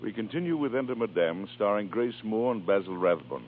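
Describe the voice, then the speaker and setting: A man's voice: on the low side, animated, fairly quick. A man narrating a radio drama in scripted 1930s style. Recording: narrow tinny 1930s radio recording. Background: music.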